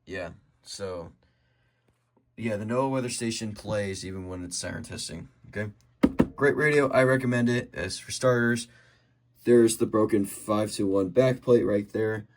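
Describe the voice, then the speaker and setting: A young man with an American accent giving a casual show-and-tell, halting and rambling as he corrects himself. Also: fairly low pitch, very noisy. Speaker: hobbyist showing a radio collection. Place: indoors at home by a desk.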